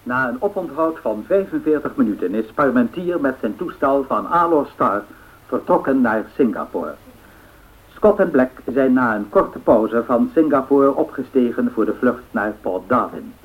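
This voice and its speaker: A man acting a formal, factual 1930s radio newsreader, measured, through an old radio filter. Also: medium pitch, expressive. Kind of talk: 1930s news bulletin in radio drama. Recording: tinny narrow-band radio sound.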